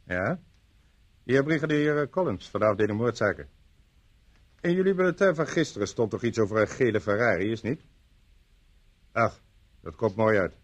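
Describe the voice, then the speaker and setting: Eager courteous police sergeant, radio drama, animated intonation, husky voice, official tone. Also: male voice, lowish voice, moderate pace, long pauses. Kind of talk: radio play acting.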